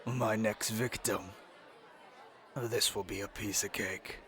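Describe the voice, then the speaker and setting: A man playing a menacing, sneering demon arena boss in an audiobook, with a gravelly voice. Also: fairly low pitch, steady tone, slow, fairly bright tone, some chatter behind. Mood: smug menace.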